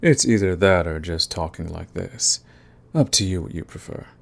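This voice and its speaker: A man's voice: sits low, moderate pace, creaky. A man playing a smug, flirtatious villain who is fond of the enemy, in an intimate ASMR romance roleplay with taunting, drawling menace. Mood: commanding, teasing. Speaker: audio roleplay voice actor.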